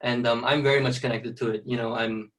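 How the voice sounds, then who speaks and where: Young Filipino dancer, even-toned and unhurried, slightly distant from the mic. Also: male voice, medium pitch. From Philippines. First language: Tagalog. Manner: soft-spoken, many fillers and "you know". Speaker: ballet dancer, guest. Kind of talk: casual interview.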